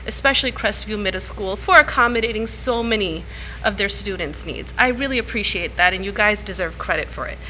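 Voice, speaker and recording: A woman's voice: fairly high pitch, pushed and bright, a little hiss or hum, rough recording. A woman in her thirties from the Chicago area, delivering a prepared public comment as a parent at a school board meeting. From Chicago area, United States. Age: 30s.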